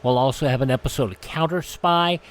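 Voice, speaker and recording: A man's voice: medium pitch, brisk. A man hosting a radio show, presenting programmes in an informal, conversational way. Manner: chatty, conversational.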